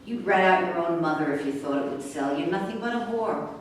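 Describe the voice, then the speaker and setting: A woman in a staged play reading, acting a bitter, sharp-tongued racehorse trainer who is hurt, sarcastic and confrontational. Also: lowish voice, lively intonation, a little fast, natural voice. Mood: biting. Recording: very echoey room, distant mic, faint noise.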